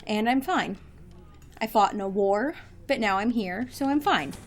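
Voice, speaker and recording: A woman's voice: medium pitch, lively intonation, unhurried, a little hiss or hum, close to an everyday voice. An actress playing a guarded, sarcastic young woman, a hardened grad-student daughter, speaking dryly and articulately in an audio drama. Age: young adult.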